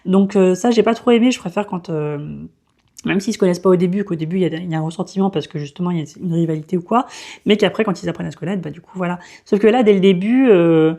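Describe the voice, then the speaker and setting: A woman in her thirties reviews a novel in a loose, chatty way. Her voice sits a little low and sounds warm and soft-edged. She often pauses, restarts her sentences and fills gaps with hesitant sounds. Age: 30s. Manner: hesitant, many fillers and restarts. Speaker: book vlogger. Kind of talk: casual book review.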